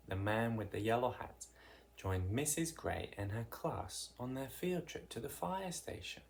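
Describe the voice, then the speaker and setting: Storybook narrator reading to kids, real slow n deliberate, expressive w dramatic emphasis. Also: medium pitch, creaky. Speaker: picture book reader. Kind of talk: read-aloud children's storytelling.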